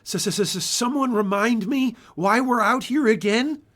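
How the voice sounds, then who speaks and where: Man acting a shivering young farmer, high-pitched. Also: slow pace, a bit bright, exaggerated voice. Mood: cold, grumbling. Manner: stammering with cold.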